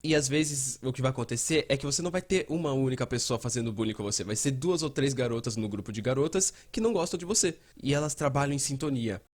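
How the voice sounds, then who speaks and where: Husky-voiced Brazilian man explaining. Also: medium pitch, fast talker, hardly any pauses. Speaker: host and fan dubber. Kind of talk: dubbed explainer narration, conversational.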